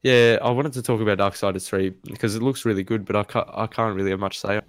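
A man's voice: fairly low pitch, fairly even intonation, fast talker, crowd noise. A teenage man, hosting a casual chat among friends on a desk microphone, joking and playful. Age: teens. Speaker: podcast host.

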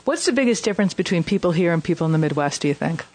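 A woman's voice: lowish voice, lively intonation, very fast, darkish tone. Playful teasing female co-host. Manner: playful, teasing, laughs easily. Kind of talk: casual chat.

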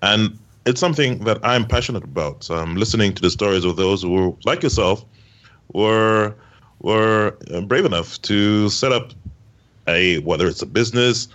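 A podcast guest talks about his own show, hesitating often and restarting his sentences. His voice is very deep, and the remote line sounds muffled and lo-fi. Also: moderate pace, fairly bright tone. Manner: hesitant, many ums and restarts. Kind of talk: interview answer.

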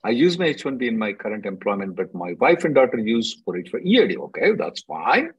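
A man's voice: lowish voice, very animated, fairly quick, breathy edge, highs cut off. A man hosting a call-in as an attorney, reading out questions and answering informally in a blunt, emphatic way, often repeating himself.

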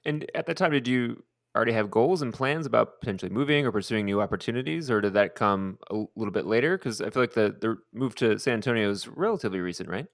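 Male podcast host, brisk and upbeat, dry close mic. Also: lowish voice, animated, creaky, no room sound. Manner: upbeat, quick, friendly questions. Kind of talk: podcast interview hosting.

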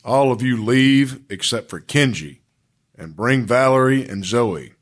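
Man as a slow, stern school principal. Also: mid-range voice, expressive, natural voice. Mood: questioning, firm. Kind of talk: amateur school radio theater.

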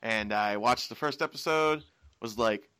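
A man's voice: medium pitch, a little slow, bright, forceful tone, clean tone, dry recording. A young American man from Washington State hosting a casual podcast chat, rambling and laughing as he tells stories. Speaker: podcast host.

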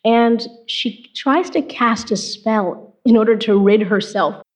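A woman interviewer asking measured, careful questions in a broadcast interview. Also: on the high side.

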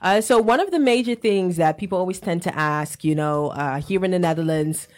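A woman's voice: on the low side, fairly quick. A young woman interviewing two guests in a casual chat, laughing often and affirming them. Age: young adult.

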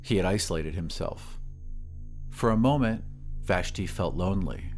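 Expressive male narrator with lively intonation. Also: deep voice, moderate pace. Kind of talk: dramatic scripted story narration. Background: music.

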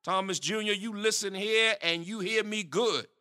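A man's voice: high-pitched, moderate pace, bright, forceful tone. A man playing a blustering pastor father. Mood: outraged. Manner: blustering, exasperated scolding. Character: stern middle-aged pastor father, easily riled.

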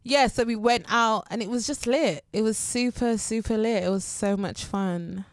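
A woman's voice: fairly high pitch, moderate pace, dry recording, clean studio sound. A woman in her twenties with a London accent, chatting casually in a candid, slangy way. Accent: London English. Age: 20s. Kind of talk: casual chat.

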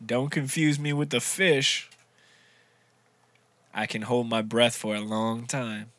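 A man reads out quiz clues in a relaxed party game, his voice bright and forceful. The sound is dry and close. He chuckles easily and keeps things loose. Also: mid-range voice, slow, dry recording. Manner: reads trivia clues aloud, laughing often. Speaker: quiz reader in a drinking game. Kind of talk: casual game chat.

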